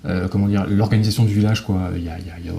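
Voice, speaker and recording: A man's voice: on the low side, fairly even intonation, very fast, vocal fry, dark tone. A man speaking casually in an interview as a guest, telling stories in colloquial speech. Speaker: guest publisher of visual novels.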